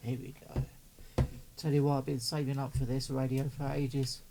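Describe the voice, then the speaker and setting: A man's voice: mid-range voice, level tone, normal speed, noisy background. A man giving casual, doting unboxing commentary as a hobbyist, chatting and muttering to himself as he works. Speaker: radio hobbyist vlogger.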